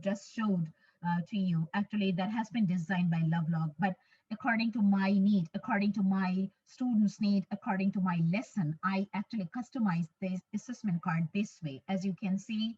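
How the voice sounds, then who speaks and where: A woman's voice: mid-range voice, darkish tone, husky. Bangladeshi woman presenting a distant, muffled webinar. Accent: Bangladeshi English. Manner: measured, repeats phrases for emphasis. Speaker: conference presenter, English teacher.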